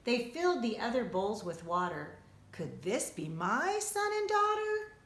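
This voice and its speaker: A woman reading a rhyming children's story aloud in an expressive, rhythmic, sing-song way, like a teacher. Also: a little high, measured pace, a little hiss or hum. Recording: roomy, echoing indoor sound.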